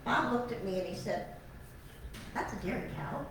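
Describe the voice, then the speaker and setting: A woman's voice: fairly high pitch, animated, moderate pace. An older woman, a retired teacher turned cattle farmer, telling personal stories to an audience in a chatty, emotional, self-deprecating way. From born in Alabama, raised on Long Island. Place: large meeting hall. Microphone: far room mic. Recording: echoey hall from far off, noisy.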